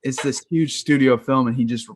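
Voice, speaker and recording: A man's voice: mid-range voice, steady tone, normal speed, no echo, clean studio sound. A man in his twenties chatting casually and enthusiastically about films on a video call, rambling with lots of slang. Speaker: film podcast co-host. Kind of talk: casual film chat.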